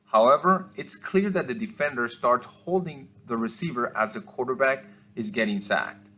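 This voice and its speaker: Man giving measured instructional commentary. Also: medium pitch, sharp tone. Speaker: football officiating instructor. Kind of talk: instructional film-review commentary.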